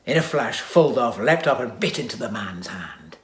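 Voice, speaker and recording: A man narrating a children's adventure story with dramatic reading and hushed asides. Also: medium pitch, animated, normal speed. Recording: echoey room.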